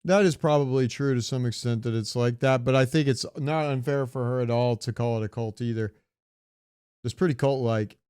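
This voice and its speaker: A man's voice: mid-range voice, moderate pace, darkish tone, clear, resonant tone, no echo. Clean-voiced man chatting casually. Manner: informal, sweary. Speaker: podcast co-host. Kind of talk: casual banter about films and pop culture.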